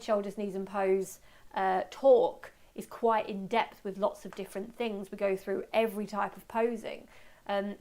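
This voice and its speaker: Female webinar presenter, medium pitch, informal and talkative, excitable and self-deprecating, speaking live to learners. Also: creaky. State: voice slightly going from talking. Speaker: photography workshop presenter. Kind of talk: informal live webinar talk with audience questions.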